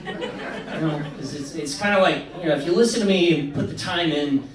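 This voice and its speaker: Echoey, far-off male stand-up comic. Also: fairly high pitch. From Missouri, United States. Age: 20s. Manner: halting, self-deprecating delivery. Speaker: stand-up comedian. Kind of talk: stand-up comedy set. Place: indoor comedy venue. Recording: echoey club set from far off, crowd laughter. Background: audience laughter.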